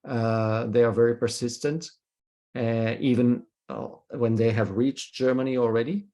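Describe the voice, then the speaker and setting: A man from Belgium, leading a film discussion over a video call, pausing often with filler sounds and checking in with "hmm?". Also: mid-range voice, fairly even intonation, mellow. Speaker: discussion leader, professor.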